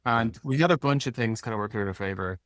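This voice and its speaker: A man's voice: medium pitch, fast talker, fairly bright tone, no echo, clean studio sound. A man speaking as an interview guest in a casual, plain-spoken way, like an executive talking informally about work. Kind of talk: casual conversational interview answers.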